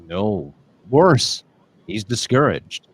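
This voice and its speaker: A man's voice: mid-range voice, very animated, extremely slow, breathy edge, full character voice. A man playing an authoritative senior angel giving grave orders in a radio play. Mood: grave, commanding. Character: commanding senior angel, superintendent of heaven. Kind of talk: live radio play acting.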